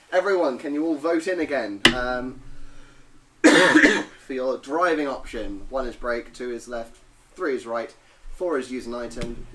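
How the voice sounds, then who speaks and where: A man's voice: medium pitch, background noise. A man running a tabletop game as game master, narrating playfully and humming sound effects.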